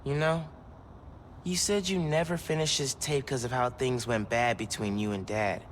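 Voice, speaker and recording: A man's voice: medium pitch, fairly even intonation, normal speed, slight background noise, lightly acted. A young man acting an earnest, quippy, stressed seventeen-year-old superhero in a video game cutscene. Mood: sincere. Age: young adult.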